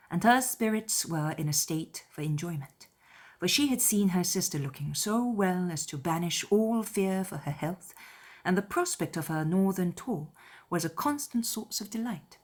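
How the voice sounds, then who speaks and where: Low-voiced woman narrating a classic novel. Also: a little mellow. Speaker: audiobook narrator. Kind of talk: scripted literary narration.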